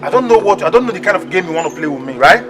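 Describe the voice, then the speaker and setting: A jealous, suspicious boyfriend in a film drama. His high voice moves quickly and expressively as he makes his stern demands, over clear background music. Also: animated, fairly bright tone. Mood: suspicious, accusing.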